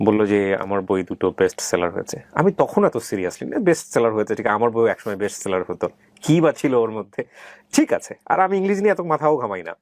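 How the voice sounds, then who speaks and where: A man's voice: fairly low pitch, big pitch swings, brisk, darkish tone, dry recording, studio quality. A middle-aged Bangladeshi man hosting an interview, speaking emphatically to guests and viewers and mixing in English words. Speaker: interview host. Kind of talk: conversational interviewing and addressing viewers.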